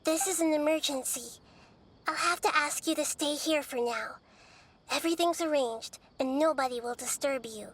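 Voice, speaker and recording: High-voiced, gentle little goddess. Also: female voice, a little hiss or hum. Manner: measured, reassuring, formal. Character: small, gentle childlike goddess, calm, wise, composed. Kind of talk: video game voice acting.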